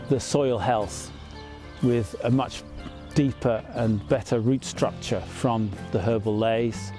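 A man in his fifties, a farmer, explaining his farm practices in a measured, plain way, outdoors in an open field. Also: mid-range voice. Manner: measured, plain explanatory talk with small fillers.

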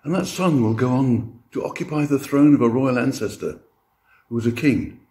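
A man's voice: medium pitch, normal speed, dark tone. An older man reading scripture and preaching as a lay minister in a church. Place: church interior.

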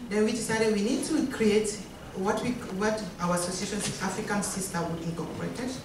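A woman from Cameroon speaking on a panel in a lively, chatty way, with playful asides. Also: medium pitch, brisk. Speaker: association co-founder on a panel. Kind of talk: panel talk. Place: large conference room. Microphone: handheld mic, heard from far. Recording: echoey room, distant, some noise.

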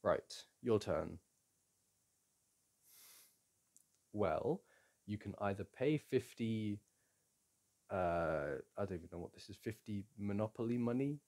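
A man playing a playful boyfriend in an intimate audio roleplay, chatting casually, teasing and coaxing the listener in a gentle, halting way. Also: lowish voice, animated. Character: playful, teasing, soothing boyfriend at a board game.

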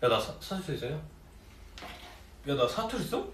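A young man with a slightly high voice that leaps up and down as he jokes and teases his bandmates over food. The sound is roomy and picked up from a distance, with a faint hiss underneath. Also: a little slow. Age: young adult. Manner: playful, teasing, lively storytelling. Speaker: idol group member hosting a livestream. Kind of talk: casual chat while eating. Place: small indoor office room, seated at a table. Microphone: fixed camera across the table.

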